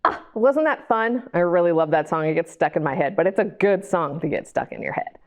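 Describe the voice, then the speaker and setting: A woman hosting storytime, reading a picture book to young children in an animated way, with chatty questions and asides to the listeners. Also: lowish voice, fairly quick. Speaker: library storytime host and reader.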